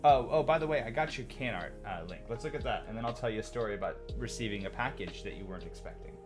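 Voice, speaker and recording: A man chatting on a livestream, loud-voiced and animated, reacting to chat and rambling through opinionated stories. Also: mid-range voice, fast talker. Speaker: streamer. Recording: music playing clearly behind voice. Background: music.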